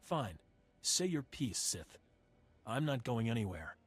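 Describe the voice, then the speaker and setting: An actor voicing a defiant, noble commander in a video game, resolute and declamatory. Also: male voice, mid-range voice, big pitch swings, takes it slowly, slight background noise. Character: defiant, noble male commander sworn to protect others.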